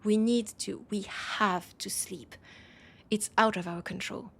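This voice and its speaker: Woman doing a proud worried vampire lady, french accent, raspy n creaky, halting earnest audio roleplay delivery. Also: medium pitch, fairly even intonation, exaggerated voice. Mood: grave, troubled. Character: proud, worried female vampire of a supernatural council. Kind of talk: intimate scripted audio roleplay.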